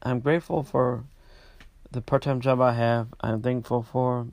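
A man hosting his own podcast, talking casually about his week, congested and halting with many restarts. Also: medium pitch, level tone, a little mellow, no room sound. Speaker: podcast host. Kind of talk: casual personal update.

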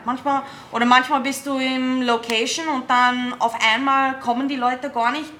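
Bright-voiced young woman. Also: on the high side, slight background noise. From South Africa, raised in England. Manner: lively, quick, slightly non-native German. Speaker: band booker and musician. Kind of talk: casual interview chat.

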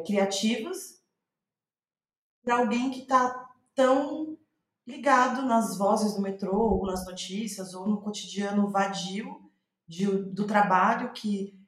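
A Brazilian woman speaking as an interviewed playwright, reflecting in conversation and circling back to rephrase as she thinks aloud. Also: medium pitch. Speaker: guest playwright. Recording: roomy, echoing voice recording.

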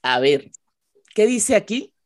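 A woman's voice: mid-range voice, big pitch swings, slow pace. A woman playing a polite, kindly and obliging schoolteacher in a radio drama. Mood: gentle, encouraging.

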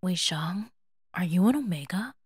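A woman playing a gossipy, excitable but kind young student friend in an audio drama, chatty and eager. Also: fairly low pitch, normal speed, husky. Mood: concerned, reassuring.

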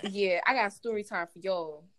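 Young woman, medium pitch, slangy, emphatic, casual storytelling. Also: normal speed, a bit bright. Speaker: podcast panelist.

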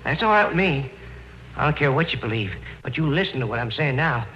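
An actor in an old radio drama playing a superstitious rural caretaker, telling things in a halting, folksy, ominous way. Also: male voice, medium pitch, animated, close to an everyday voice. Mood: insistent warning. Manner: folksy, halting, foreboding storytelling. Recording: muffled vintage radio recording, music behind.

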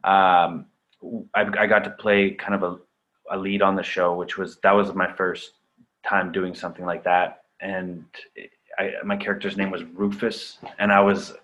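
A Canadian-raised actor whose first language was German, chatting casually in an interview with lots of fillers and restarts. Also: male voice, sits low, a little slow, dull audio. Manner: relaxed, rambling with many fillers and restarts.

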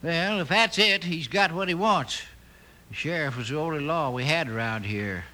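A crotchety old storekeeper in a Western radio play. He has a high, very sharp American voice and a folksy, hemming, joking way of talking. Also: moderate pace, slight background noise. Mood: grave. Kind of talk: 1950s Western radio acting. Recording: bright old-time radio recording.

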